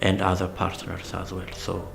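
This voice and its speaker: Low-pitched man explaining. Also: completely flat delivery, normal speed, vocal fry. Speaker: project team member explaining a radio drama program. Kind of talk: explanatory documentary interview. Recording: music bed under interview. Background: music.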